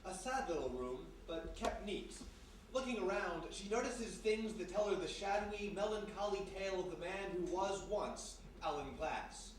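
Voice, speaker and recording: A man acting as the measured, dramatic narrator of a live old-time radio mystery. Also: high voice, lively intonation. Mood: melancholy, hushed. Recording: very echoey live hall, distant mic, faint noise.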